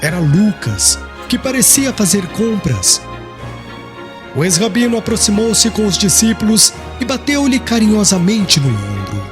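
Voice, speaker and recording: High-pitched male narrator over music. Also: sing-song, a little slow. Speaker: audiobook narrator. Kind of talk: scripted narration, literary and solemn.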